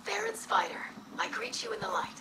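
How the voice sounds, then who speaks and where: Earnest, breathy young alien scholar. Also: female voice, fairly high pitch, wildly swooping pitch, fairly quick, in a whisper, full character voice, low-quality recording. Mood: formal. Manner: formal, earnest, precise. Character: polite, eager young female alien scholar. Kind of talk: video game voice acting. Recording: game ambience over the voice.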